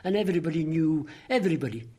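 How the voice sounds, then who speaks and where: Husky elderly Scottish Highland man. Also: animated, fast talker, mellow. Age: late 80s. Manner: repeats phrases for emphasis. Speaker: local resident interviewee. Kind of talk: recollection in conversation.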